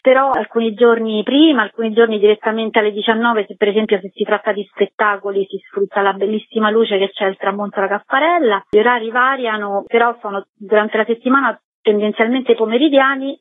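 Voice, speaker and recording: A woman calls in to a radio show and calmly explains a festival schedule. Her voice is mid-pitched and comes through a tinny, phone-like line with a dry sound. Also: no echo. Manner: measured, informative. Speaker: festival curator. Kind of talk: radio interview over the phone.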